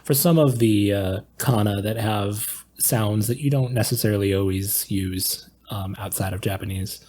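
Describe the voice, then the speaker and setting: A man on a podcast panel explaining things casually, in a halting and measured way. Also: lowish voice, a little mellow.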